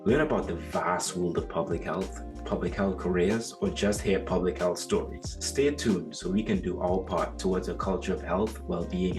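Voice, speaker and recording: Husky low-voiced man hosting a podcast. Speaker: podcast host. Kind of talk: podcast intro and interview hosting.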